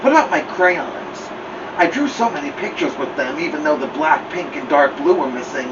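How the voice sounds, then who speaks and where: A man reading a children's picture book aloud as a storytime host, expressively, with drawn-out emphasis. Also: on the high side, expressive. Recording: muffled, clear background noise, slightly distant.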